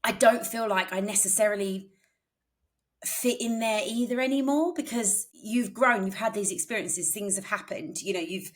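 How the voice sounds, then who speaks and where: A woman's voice: mid-range voice, moderate pace. A woman from England in her thirties, co-hosting a podcast in a chatty, think-aloud way with many fillers. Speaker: podcast co-host. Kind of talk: casual chat.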